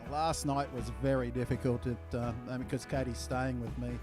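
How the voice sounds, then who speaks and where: Teasing man amid loud music. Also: medium pitch, level tone, moderate pace. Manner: teasing, laughing between phrases. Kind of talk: casual interview.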